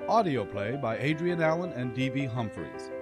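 Lively male radio play announcer. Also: medium pitch, normal speed, music in the background. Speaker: credits announcer.

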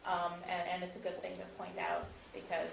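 A woman's voice: lowish voice, very animated, fairly quick. Earnest woman actor on a panel. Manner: earnest, explanatory, uses many fillers. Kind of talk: panel discussion. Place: convention panel room. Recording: echoey room, faint noise.

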